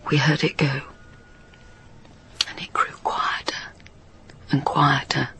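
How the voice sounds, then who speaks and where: Radio drama: haunted daughter, half-whispered, unhurried, halting confession full of guilt. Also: female voice, low voice, level tone, exaggerated voice. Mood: hushed, haunted. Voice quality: breathy, hushed. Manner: slow, halting recollection. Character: guilt-haunted daughter of a railway signalman, recalling a disaster.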